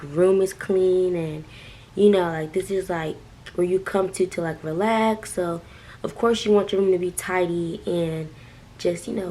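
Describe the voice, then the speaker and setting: A young woman vlogger with a raspy, hoarse voice as she loses it, chatting loosely to camera from her bedroom, full of "like" and "um". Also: mid-range voice, a little mellow, slight background noise, rough recording. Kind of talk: casual chat to camera.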